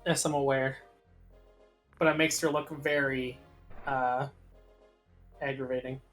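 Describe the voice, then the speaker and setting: Gamer chatting w friends, explains stuff matter of fact, middle pitch, game music behind. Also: level tone, a little slow, fairly bright tone. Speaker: gaming commentator and player. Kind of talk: casual game commentary with friends. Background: video game music.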